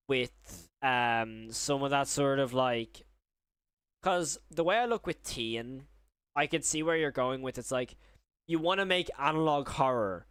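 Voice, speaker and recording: A man's voice: mid-range voice, a bit bright, clear, resonant tone, dry recording. A young man chatting casually on a live stream as he reacts to videos and chat, rambling and often rephrasing himself mid-thought.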